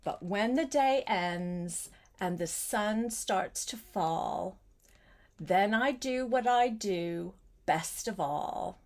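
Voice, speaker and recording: An older woman reads a children's picture book at a slow pace. Her manner is gentle and coaxing, and she often turns from the story to speak directly to the little listener. Her pitch sits in a middle range for a woman. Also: lively intonation. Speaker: grandmother reading a picture book aloud. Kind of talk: read-aloud storytelling to a small child, with chatty asides.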